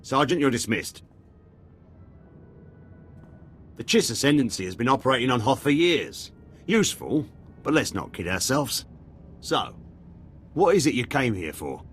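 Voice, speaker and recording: An actor playing a loyal, defensive army captain in a video game, with a clipped military manner. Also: male voice, medium pitch, big pitch swings, moderate pace, fairly bright tone, soft background music. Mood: brisk. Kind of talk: dramatic video game voice acting.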